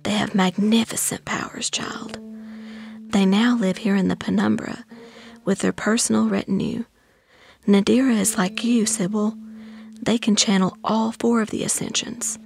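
A woman playing a calm, protective aunt in an audiobook, whispering guidance and folksy endearments to a child. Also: mid-range voice, normal speed, creaky, natural voice. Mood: reverent, hushed. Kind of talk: dramatized audiobook dialogue.

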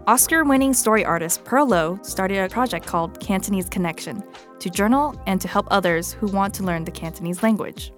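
A woman's voice: medium pitch, fairly quick, soft background music. A woman hosting a podcast, moving between scripted personal narration and interview talk. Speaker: podcast host.

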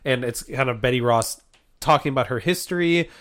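Stuffed-up, joking American podcast host. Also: male voice, medium pitch, fairly bright tone. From United States. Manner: joking, crude banter, fast riffing. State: slightly stuffed up, nasal. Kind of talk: casual chat.

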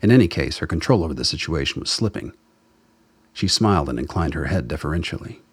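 A man's voice: a bass voice, lively intonation, quick pace, husky, no echo. A man reading scripted dramatic narration as the narrator of a radio play.

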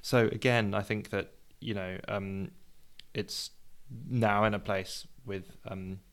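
A man's voice: on the low side, little pitch movement, slow. A man co-hosting a sports podcast in casual discussion, hedging and working out his thoughts as he talks. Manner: hedging, thinking aloud as he talks. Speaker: podcast co-host.

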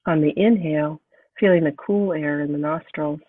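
A woman hosting a podcast, calm and unhurried as she guides a meditation, sounding nasal from a cold. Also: low voice, no echo. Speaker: podcast host. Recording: thin phone-like sound, distant from mic.

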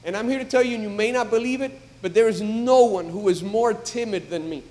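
A man, a pastor, preaching a sermon in a church, building from calm talk to emphatic, loud exhortation. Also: high voice, fairly quick. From United States. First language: English. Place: church sanctuary. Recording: long reverb of a large hall.

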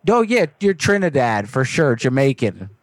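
A man making a comedic prank phone call, joking along in a deadpan, agreeable way. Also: mid-range voice, normal speed, dry recording, clean studio sound. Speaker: prank caller.

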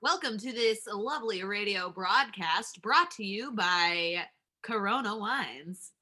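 A woman playing a deliberate, mock-formal radio announcer delivering a sponsor plug in an improvised parody ad. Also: mid-range voice, animated, sharp tone, heavily acted. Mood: salesy.

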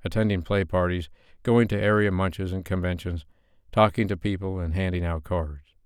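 A man's voice: low voice, fairly even intonation, normal speed, smooth, no room sound, clean studio sound. A man narrating scripted fiction as an audiobook reader.

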